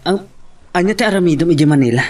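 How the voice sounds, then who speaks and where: An actor plays a protective young man in a radio-style love drama. His voice sits slightly high, with an Ilocano accent and a worried manner. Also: expressive, rapid-fire, darkish tone, slight background noise, close to an everyday voice. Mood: concerned.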